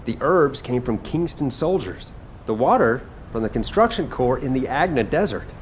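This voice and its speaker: A man's voice: mid-range voice, animated, normal speed, a little hiss or hum, natural voice. A man playing an upbeat young chef and war hero in a video game, speaking in an earnest, encouraging way. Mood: earnest, determined. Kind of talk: video game cutscene dialogue. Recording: game music behind the voice. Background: background music.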